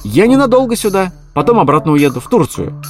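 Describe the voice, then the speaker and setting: A man voicing a deadpan rat with a runny nose who speaks in a mock-formal, unbothered way. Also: high voice, fast talker, faint music behind, voice pushed up. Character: deadpan male rat with a cold.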